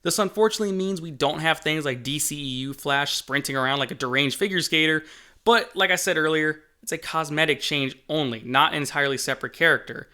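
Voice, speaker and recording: A man's voice: on the high side, sharp tone. A man narrating a scripted game development update in an emphatic, conversational manner, as the host of the video.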